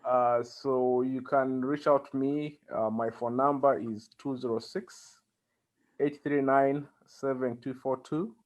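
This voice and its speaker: Halting Ugandan man in an interview. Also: mid-range voice, steady tone. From central Uganda. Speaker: interview guest, community organizer. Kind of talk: conversational interview answers.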